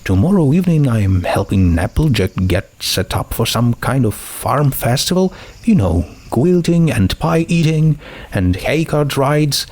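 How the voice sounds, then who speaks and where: A man's voice: medium pitch, very animated, a little slow, darkish tone, pitched above the performer's own voice. A man voicing a friendly, homesick teenage girl in a storybook, talking in a casual, chatty way. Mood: cheerful, hurried.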